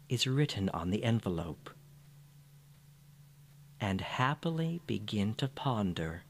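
Very slow-speaking man leading guided meditation. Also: fairly low pitch. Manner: soothing, unhurried, gentle phrasing.

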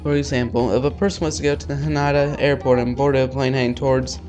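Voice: mid-range voice, flat delivery, fast talker, a little mellow, soft background music. A let's player reading visual novel text aloud in an informal way, stumbling over words and dropping in casual asides.

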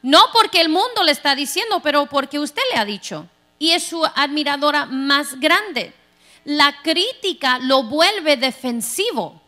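A middle-aged woman pastor from Texas preaching a sermon on a large church stage into a handheld mic, animated and playful, acting out quoted voices. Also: a little high. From Texas, United States. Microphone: handheld microphone.

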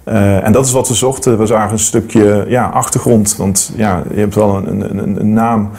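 A man's voice: fairly low pitch, fairly even intonation, moderate pace, warm tone. A man in his thirties telling his story in a documentary interview, hesitant with many fillers and restarts. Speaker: metal detectorist interviewee. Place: studio against plain backdrop.

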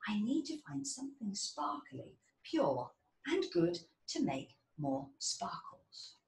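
An earnest female potions fairy from a storybook, played by a woman, fretting and dramatic. The voice is lively in pitch, recorded in a roomy space slightly away from the mic. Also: takes it slowly, vocal fry, heavily acted. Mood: worried, earnest. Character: earnest female potions fairy, worried about lost magic. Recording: roomy, distant mic, faint noise.

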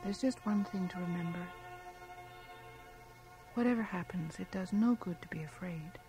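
An actress in a radio drama playing a gentle, serene, slightly strange village wife, speaking softly and kindly. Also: female voice, fairly low pitch, normal speed, lightly acted. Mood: serene, hushed. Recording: home cassette transfer, music underneath.

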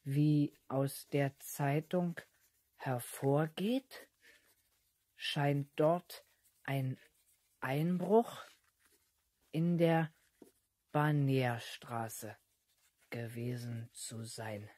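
A man's voice: medium pitch, drawn-out words, pauses often, a little mellow, no echo. A male actor playing an earnest, self-important boy detective in a 1970s children's radio play. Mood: solemn, dictating.

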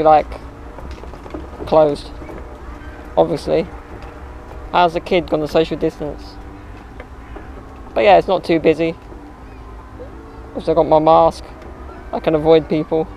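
A young man vlogging outdoors on suburban streets and in a park, chatting casually to a camera mounted low on his wheelchair, with playful boasts. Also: creaky, darkish tone, muffled recording, a little hiss or hum. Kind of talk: casual vlog chat to camera.

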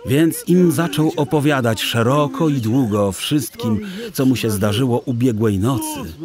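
A man narrating a children's audiobook expressively, with theatrical, dramatic phrasing. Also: mid-range voice.

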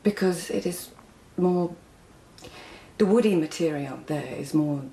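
Witty court lady in old japan, radio play, soft dark low voice, very composed. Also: gravelly, a little hiss or hum. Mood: modest, teasing. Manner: poised, measured, deferential. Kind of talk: broadcast radio drama.

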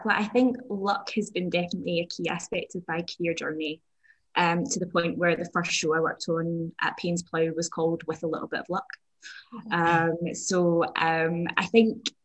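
Flat-toned woman on a video call. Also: on the low side, poor audio. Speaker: digital communications manager, panelist. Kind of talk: panel talk.

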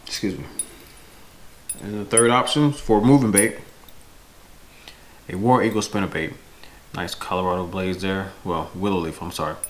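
A man's voice: low-pitched, steady tone, normal speed, long pauses, reverberant. A man in his thirties giving casual, unscripted fishing-vlog style tips to camera, correcting himself as he goes, indoors at home. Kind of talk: casual instructional product talk to camera. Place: indoors, home room with windows. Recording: noisy home camera audio.

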